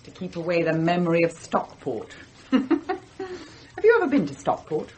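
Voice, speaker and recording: An actress playing a sensual, teasing upper-class daughter of the house in a radio drama, languid and imperious, with an English accent. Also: female voice, on the low side, huge pitch swings, unhurried, darkish tone, slight background noise.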